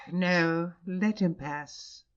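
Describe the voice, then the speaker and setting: Audiobook drama: male actor playing tiny earnest priest, slow and grave, slightly dull muffled recording. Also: sits high, fairly bright tone, clean tone, exaggerated voice. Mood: sorrowful, resigned. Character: small, gentle, grave male priest, quietly insistent.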